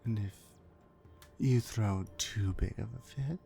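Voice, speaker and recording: A woman playing a dominant, mean orc warrior in an ASMR roleplay, mocking and deliberate, with drops into breathy whispers. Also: a bass voice, dark tone, soft background music, full character voice. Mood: menacing. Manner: menacing, mocking, slow and deliberate, often dropping to breathy whispers. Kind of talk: ASMR roleplay, slow dramatic monologue.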